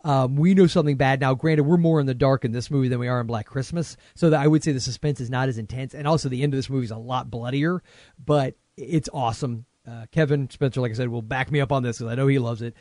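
A man's voice: mid-range voice, fast, darkish tone, no room sound, clean studio sound. A man hosting a podcast, rambling and joking in casual chat. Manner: rambling and jokey, with many restarts. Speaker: podcast host.

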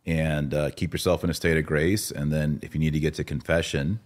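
A man's voice: very deep voice, level tone, a little fast. An American man hosting a podcast in casual, informal chat, reading out and answering listener comments. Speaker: podcast host.

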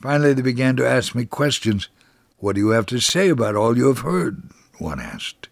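Gravelly male storyteller, dry and close. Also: medium pitch, sing-song, normal speed, no echo. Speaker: dramatic reader. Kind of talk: first-person dramatic story reading.

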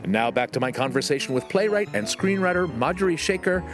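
A man's voice: high voice, animated, fast. A man reading a scripted podcast link as the host. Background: music.

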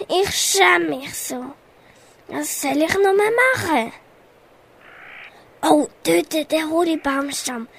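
A vain, dramatic lion, acted by a teenage girl in an amateur radio play. The voice is very high and takes its time, with theatrical self-pity and a Swiss German accent. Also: slow pace, pauses often. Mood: distressed. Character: vain, dramatic male lion proud of his mane.

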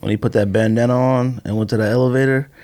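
A man's voice: mid-range voice, fairly even intonation, fast, darkish tone. A man chatting casually as a podcast co-host, teasing and slangy. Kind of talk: casual banter about sneakers.

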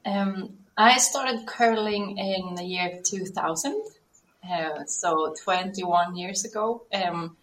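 A woman answering an interview question in a slow, hesitant way. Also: mid-range voice, fairly bright tone, a little hiss or hum, rough recording. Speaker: guest.

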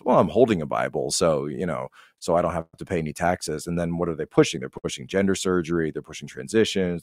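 A man's voice: low voice, dry recording, studio quality. A man giving conversational political commentary as a podcast guest, emphatic and repeating phrases to drive points home.

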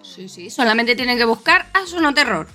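A woman's voice: on the high side, very animated, brisk, sharp tone. A woman co-hosting a podcast, giving announcements in a casual, conversational way. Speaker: podcast co-host.

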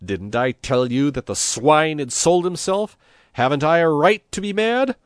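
Blustering village priest, played by a male narrator: storybook figure, hot-tempered, emphatic, wide swings in pitch, dry close sound. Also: very animated, a little slow, dry recording. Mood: furious. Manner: bellowing, indignant, emphatic. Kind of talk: storybook character reading.

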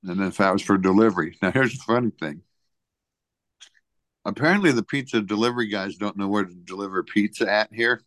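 A man with a fairly low voice co-hosts a podcast. He talks casually and tells everyday anecdotes in a plain conversational way, recorded close with very little room sound. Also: brisk, fairly bright tone, dry recording. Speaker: podcast co-host.

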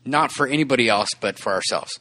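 A man's voice: medium pitch, fast, pushed and bright. A man in his forties, a podcast co-host, talking casually and earnestly with restarts and frequent laughs. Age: 40s. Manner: rambling, earnest, laughs often. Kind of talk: casual chat.

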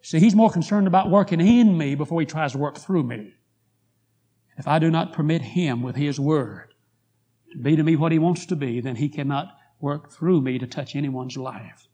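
Hoarse man, revival preacher, mellow husky voice, fervent appeals, church sanctuary. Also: a little high, normal speed. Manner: rising to fervent pleas, then quiet prayer. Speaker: guest preacher. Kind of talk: revival sermon with story.

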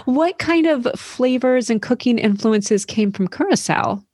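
A woman's voice: fairly high pitch, expressive, measured pace, darkish tone, husky, no room sound. Woman interviewer, affirming and attentive, lots of mm-hmms, dry studio sound. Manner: encouraging, frequent mm-hmms. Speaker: podcast host. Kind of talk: casual interview.

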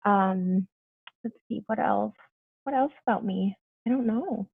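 A woman's voice: medium pitch, measured pace, gravelly, slightly rough, no echo. Young woman from Mexico, bubbly guest, tinny phone-like sound, dry. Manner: bubbly, chatty, frequent ums and laughs. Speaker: guest, police officer. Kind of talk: casual interview chat.

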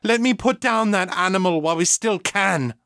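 A man's voice: a little high, moderate pace, pushed and bright, no room sound, voice pushed up. A fierce mother standing her ground acted by a male narrator in an audiobook story. She is curt, commanding and defiant, and the sound is dry. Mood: desperate fury.

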